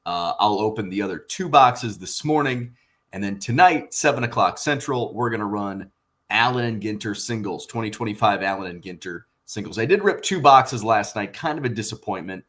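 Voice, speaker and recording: A man with a husky morning voice chatting casually in a rambling way, filling pauses with lots of ums and uhs as he hosts his own vlog. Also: fairly low pitch, animated, a bit bright. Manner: rambling, filler-heavy, conversational. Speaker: card collecting vlogger.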